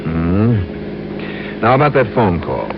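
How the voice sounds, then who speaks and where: Wry hardboiled insurance detective, lowish voice, short probing questions, muffled noisy old radio show. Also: male voice, lively intonation, slow pace. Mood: probing, dry. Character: shrewd, skeptical male insurance investigator. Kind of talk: 1950s radio crime drama acting.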